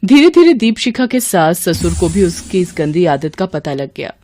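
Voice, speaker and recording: A woman's voice: fairly low pitch, very animated, moderate pace, mellow, soft background music. A woman narrator reading a scripted storybook tale.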